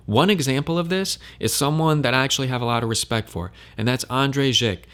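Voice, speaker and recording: A man's voice: medium pitch, a little fast, fairly bright tone, clean tone. Male commentator, opinionated and emphatic, direct talk to camera. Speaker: crypto commentator.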